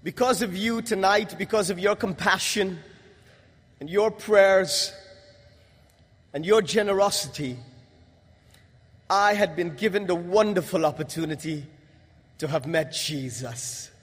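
A young man from Guyana giving a religious testimony to an audience, in a measured, emphatic delivery with pauses. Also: high-pitched, a bit bright, breathy edge. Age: young adult. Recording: long hall reverb.

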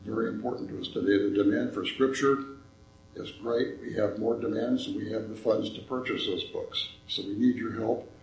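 Older man, earnest church speaker, slightly hoarse, low and gravelly, roomy echo. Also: moderate pace, slight background noise. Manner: earnest, folksy storytelling. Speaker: visiting ministry speaker. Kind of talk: church appeal talk. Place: church sanctuary.

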